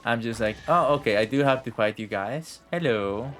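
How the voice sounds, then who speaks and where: A man's voice: medium pitch, moderate pace, fairly bright tone. Chatty man streaming a game. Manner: chatty, rambling to himself, swearing. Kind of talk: casual live game commentary. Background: faint game music.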